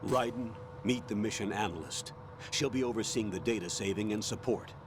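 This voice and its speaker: An actor playing a stern older male colonel, giving an authoritative, measured mission briefing in a video game. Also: fairly low pitch, noisy background, close to an everyday voice. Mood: calm, businesslike. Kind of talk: video game radio briefing, scripted acting.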